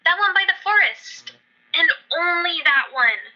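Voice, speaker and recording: An anxious little girl, acted by a woman in a radio play, with a very high, piercingly bright voice. She is naive and chatty, and the recording is slightly dull. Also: lively intonation, a little slow, full character voice. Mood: earnest, worried. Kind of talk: amateur radio play acting. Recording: dull lo-fi audio.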